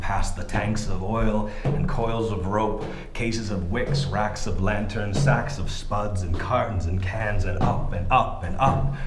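Low-voiced, echoey lighthouse keeper spinning a horror tale played by a man. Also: close to an everyday voice. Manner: theatrical, savoring, deliberate storytelling with drawn-out phrases. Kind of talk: dramatic first-person radio play narration. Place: on location inside an unused lighthouse. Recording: echoey stone lighthouse interior, clear background noise.

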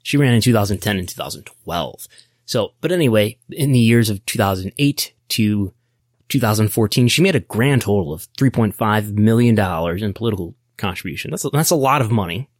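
American guy podcaster, kinda low voice, nerdy wry business talk, thinks out loud, really dry sound. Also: animated, a little fast, no echo. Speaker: podcast host. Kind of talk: casual explanatory business commentary.

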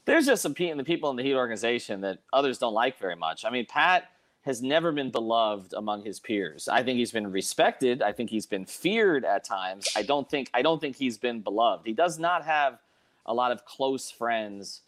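A man hosting a casual sports discussion, thinking aloud with halting, repeated words as he puts questions to a panel. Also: mid-range voice, very animated, a bit bright. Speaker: host of a sports podcast panel.

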